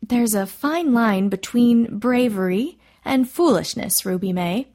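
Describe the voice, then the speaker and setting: Brisk medical woman, firm and curt, gently scolding, for a storybook acted by a female narrator. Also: a little high. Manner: curt, chiding.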